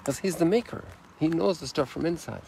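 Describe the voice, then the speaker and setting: Older priest chatting outdoors, faint background noise. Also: male voice, on the high side, fairly quick, creaky, darkish tone, rough recording. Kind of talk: reflective livestream chat and preaching. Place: outdoors on a lakeshore.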